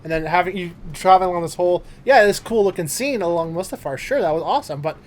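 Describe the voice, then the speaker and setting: A man's voice: high voice, lively intonation, quick pace. A man co-hosting a casual chat about films and games, opinionated and swearing freely. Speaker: podcast co-host.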